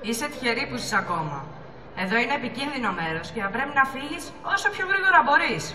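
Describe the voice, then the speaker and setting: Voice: sits high, big pitch swings, bright, forceful tone, heavily acted. A young teenager playing a brave, kindly male sea creature in an amateur school puppet play, eager and earnest. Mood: concerned. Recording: echoey reverberant hall recording.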